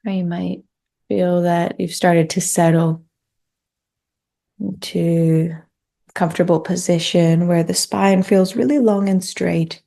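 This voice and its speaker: A woman leading a guided meditation in a gentle, soothing, unhurried manner. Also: lowish voice, fairly even intonation, vocal fry.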